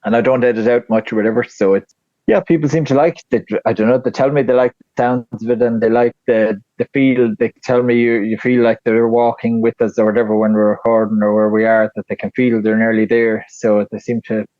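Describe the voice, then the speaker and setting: A man with a flat Tipperary Irish accent, chatting casually as a podcast guest, rambling with lots of fillers and restarts. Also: mid-range voice, fairly quick, dry recording, dull audio. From Ireland. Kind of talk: casual interview chat.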